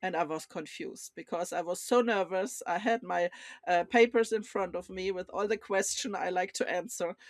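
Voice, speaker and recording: A woman's voice: lowish voice, dry recording. Hesitant woman co-host, dry close sound. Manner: hesitant, many fillers. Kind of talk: conversational podcast discussion.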